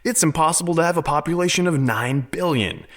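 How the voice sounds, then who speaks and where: A man's voice: on the high side, fairly quick, fairly bright tone. An actor playing a confident, open-minded scientist in an audio drama, lecturing earnestly and arguing his case. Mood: insistent. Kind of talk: dramatic audio fiction acting.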